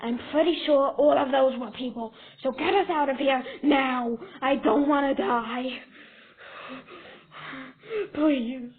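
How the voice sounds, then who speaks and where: A woman's voice: sits high, expressive, fast talker, hardly any pauses, pitched above the performer's own voice. A woman voicing a panicky, exasperated villain's henchman in a story, frantic and repetitive as he rants and pleads. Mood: frightened pleading. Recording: tinny, phone-like narrow band.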